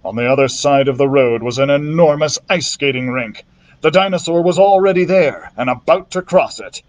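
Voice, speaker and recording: A male librarian reading a children's adventure book aloud in a steady storytelling manner, with the odd slip. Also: fairly high pitch, normal speed. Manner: steady storytelling narration with small slips.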